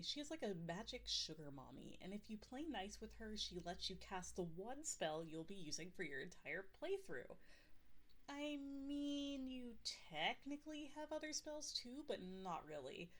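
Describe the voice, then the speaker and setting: A woman's voice: mid-range voice, fairly bright tone. A woman playing a possessive, insistent yandere girlfriend who runs a tabletop game in an ASMR roleplay, rambling with defensive asides and close to the mic. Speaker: game master. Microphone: close binaural microphone.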